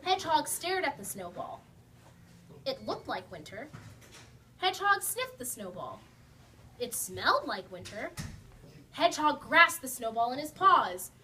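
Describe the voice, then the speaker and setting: A woman narrating a children's puppet show, animated and speaking to a young audience. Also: high voice, measured pace, long pauses. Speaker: storyteller. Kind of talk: children's story reading. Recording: distant mic, faint noise.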